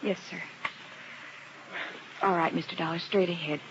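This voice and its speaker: A woman's voice: lowish voice, fairly even intonation, moderate pace, natural voice. An American actress playing a guarded, deferential female office receptionist in a 1950s radio drama, formal and brief. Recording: dull old radio recording, faint noise.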